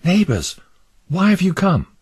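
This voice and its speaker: A man voicing an earnest devout male pilgrim who pleads fervently with his neighbours, in a husky voice. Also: high-pitched, huge pitch swings, unhurried, pitched above the performer's own voice, heavily acted. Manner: fervent, imploring.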